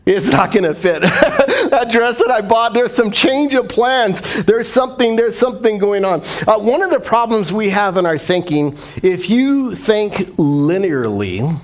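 Pastor guy preaching, chatty and animated, voice on the higher side. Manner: animated, conversational preaching with congregation call-and-response. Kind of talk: sermon.

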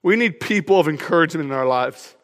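High-voiced man preaching loudly. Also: fast. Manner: loud, energetic, emphatic preaching. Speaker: pastor. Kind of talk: sermon. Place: church auditorium. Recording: long hall reverb.

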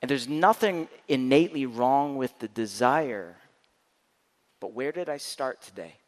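A man preaches to a live congregation, his mid-pitched voice swinging from loud, emphatic bursts down to quiet, intense lows. He speaks candidly into a mic, and the church hall carries a long, ringing reverb. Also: a little slow. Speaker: guest preacher. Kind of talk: sermon. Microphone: handheld or stand mic.

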